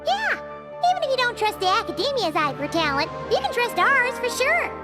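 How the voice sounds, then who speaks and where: A woman's voice: very high-pitched, sing-song, a little fast. A woman voicing a tiny, nosy floating female companion who talks about herself in the third person, in a game dub. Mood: chirpy. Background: game music.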